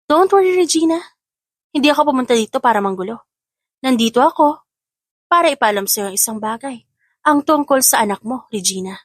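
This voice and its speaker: A woman's voice: sits high, animated, fairly quick, long pauses, no echo, studio quality. A woman voicing a smug female rival in an audio drama, taunting with mocking false sweetness. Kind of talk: Tagalog audio drama acting.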